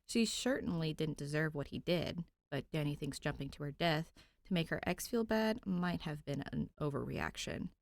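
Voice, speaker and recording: A woman's voice: low voice, animated, moderate pace. A woman podfic reader narrating fan fiction expressively, with an informal, conversational touch.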